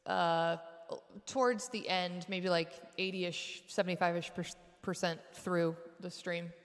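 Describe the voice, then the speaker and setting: Singer-host, woman, low-ish voice, rambling casual chat, echoey home room. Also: fairly even intonation, measured pace. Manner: chatty, rambling with fillers. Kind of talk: casual chat between songs. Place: home studio set. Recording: echoey room with long reverb.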